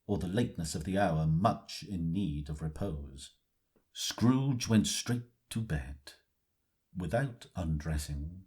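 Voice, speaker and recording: Deep-voiced, unhurried male narrator. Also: lively intonation, a little mellow. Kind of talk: scripted dramatic story narration.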